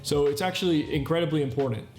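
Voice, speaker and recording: A man's voice: on the high side, fast, a little mellow. A man in his thirties with a somewhat raised voice speaks earnestly and explains step by step, stacking up phrases like a list. The room is echoey, and faint music plays underneath. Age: 30s. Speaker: channel host. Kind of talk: self-improvement talk. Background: faint background music.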